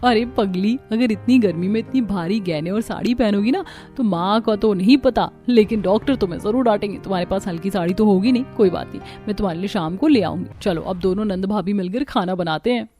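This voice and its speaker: A woman's voice: medium pitch, a little fast, hardly any pauses, mellow, faint music behind, close to an everyday voice. A woman voicing a kind, helpful young sister-in-law in a cartoon, friendly and reassuring. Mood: caring, teasing.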